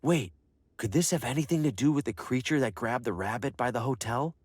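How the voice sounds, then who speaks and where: A man's voice: medium pitch, brisk, breathy edge, no room sound, studio quality, close to an everyday voice. An actor playing a wary, awkward everyman salesman in a video game, hesitant and wryly skeptical. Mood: musing, cautious. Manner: hesitant, wry.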